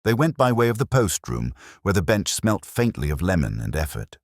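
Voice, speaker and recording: A man narrating a mystery story as a scripted reading, in a measured, dryly literary manner. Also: low voice, sing-song, no echo, clean studio sound.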